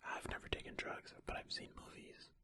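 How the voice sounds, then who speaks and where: An ASMR host whispering stories and casual, musing commentary in a breathy, unvoiced voice, close to the microphone. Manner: unhurried, musing, informal. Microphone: close ear-to-ear ASMR microphone.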